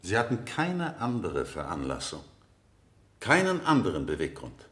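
Low-voiced formal interrogating lawyer. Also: male voice, wildly swooping pitch, fairly bright tone, exaggerated voice. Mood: formal, probing. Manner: formal, measured, probing. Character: formal male interrogator, brisk and probing lawyer. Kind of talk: 1970 German radio play acting.

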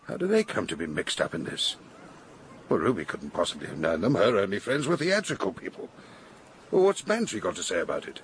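An actor playing a wealthy, strong-willed older English gentleman in a radio drama, speaking bluntly and formally. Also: low voice, wildly swooping pitch, brisk, slight background noise, exaggerated voice. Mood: troubled, insistent.

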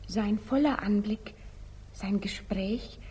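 An actress playing a dreamy, lovestruck young maiden, soft and breathy, in a theatrical 1940s German radio drama style. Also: female voice, medium pitch, slow. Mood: dreamy, wistful.

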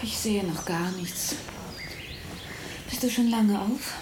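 An actress playing a concerned, sceptical, plain-spoken woman in a German radio play, with a husky, breathy voice. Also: mid-range voice, slow, background noise, lightly acted. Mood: drowsy, puzzled.

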